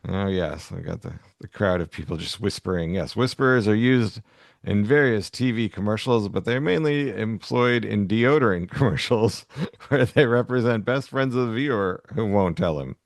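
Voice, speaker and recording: Low, creaky-voiced man, dry close sound. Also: normal speed, no echo. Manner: agreeable, chuckles often while talking. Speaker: podcast co-host. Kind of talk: casual chat and reading aloud from a humor magazine.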